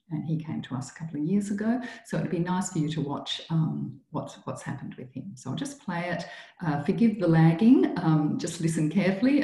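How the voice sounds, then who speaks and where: Low, mellow-voiced woman presenting. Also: echoey room, low-quality recording. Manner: chatty, explanatory, with many ums and restarts. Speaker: school staff presenting to students. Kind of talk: informal presentation to prospective students.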